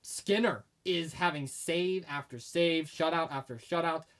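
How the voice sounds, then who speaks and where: A man's voice: a little high, a little slow, a bit bright. A man, co-hosting a casual sports podcast, making emphatic, opinionated predictions while starting to lose his voice. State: losing his voice. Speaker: podcast co-host.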